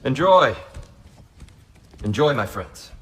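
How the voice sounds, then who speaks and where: A man playing a gentle, humble, weary god in a video game, a former prisoner who speaks with courteous, apologetic care. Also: mid-range voice, sing-song, takes it slowly, slight background noise. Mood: hospitable. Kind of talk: video game character acting.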